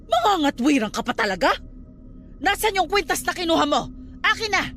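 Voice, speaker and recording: High-pitched, scolding Filipino aunt. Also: female voice, sing-song, a little fast, fairly bright tone, faint music behind, heavily acted. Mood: exasperated scolding. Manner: scolding, dramatic, emphatic. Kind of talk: radio drama acting.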